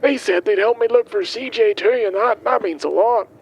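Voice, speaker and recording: An actor playing a kindly, guileless milkman in an audio drama, rambling haltingly as he records his will. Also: high-pitched, breathy edge. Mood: earnest, rambling. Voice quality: hesitant, halting delivery. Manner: chatty, guileless, wandering off on tangents. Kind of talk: audio drama monologue, spoken as a voice diary. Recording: faint vehicle noise behind.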